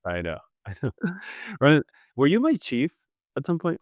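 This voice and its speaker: A man hosting a podcast, chatting with a guest in a relaxed, informal way, and swearing now and then. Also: medium pitch, moderate pace, no room sound. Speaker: podcast host, vascular surgeon. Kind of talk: casual interview conversation.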